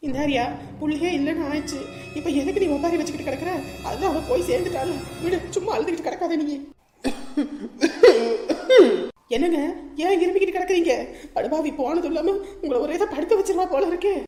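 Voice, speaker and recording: Woman doing bitter village mom, soft-edged but really shrill high pitch, whiny scolding, roomy echo, family drama. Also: quick pace, soft background music. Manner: plaintive, lamenting, scolding. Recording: roomy, echoing voice recording.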